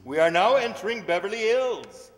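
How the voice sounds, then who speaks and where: An older man playing a showy tour bus driver in an old-time radio comedy, declamatory and deliberate, on a hall stage. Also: high voice, sing-song. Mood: showy, announcing. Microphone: stand microphone. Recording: echoey hall stage performance.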